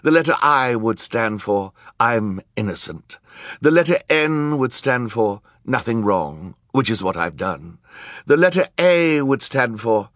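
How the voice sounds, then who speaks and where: A man's voice: mid-range voice, big pitch swings, a little slow, a bit bright, no echo, studio quality, pitched above the performer's own voice. Man acting Count Olaf, vain swooping villain. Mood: smug, declaiming. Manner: pompous, grumbling, insistent. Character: vain, grumbling male villain, smugly self-important. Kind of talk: audiobook character acting.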